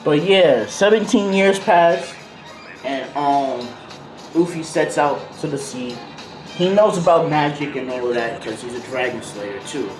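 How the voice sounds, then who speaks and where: A man's voice: fairly high pitch, animated, measured pace. A man telling a story casually in slangy, rambling speech, improvising as he goes and drifting into tangents. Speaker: video host. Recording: roomy echo, music behind voice. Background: music beat.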